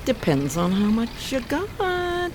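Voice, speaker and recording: A woman's voice: fairly high pitch, wildly swooping pitch, slow, a little mellow, slight background noise, exaggerated voice. A woman playing a wary, brassy young streetwalker in a 1920s New York radio drama, with brusque street slang. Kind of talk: radio drama acting, 1920s period piece.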